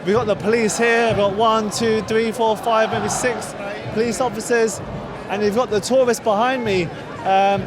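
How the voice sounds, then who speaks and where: A man hosting on location, interviewing people and commentating to camera outdoors on a city street. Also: very high voice. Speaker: host. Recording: busy outdoor street, crowd and music behind. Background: crowd noise and music.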